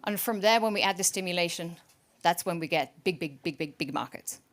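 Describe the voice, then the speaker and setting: A woman chief executive giving an energetic, joking startup pitch to investors from a conference stage. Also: brisk.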